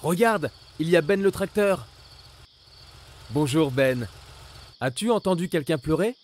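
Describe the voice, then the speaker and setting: A man narrating a children's cartoon, gently questioning and reassuring the characters. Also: fairly high pitch, lively intonation, unhurried.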